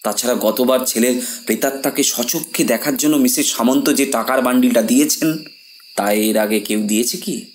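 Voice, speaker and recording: An actor playing a composed, persuasive séance organiser who explains earnestly in an audio horror drama. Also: male voice, medium pitch, moderate pace, slight background noise, close to an everyday voice. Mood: reproving, persuasive.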